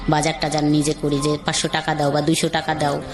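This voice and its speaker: A woman's voice: sits low, monotone, fast. A woman with a Bangladeshi accent telling her own life story in a conversational, rambling, colloquial way. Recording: music under the voice.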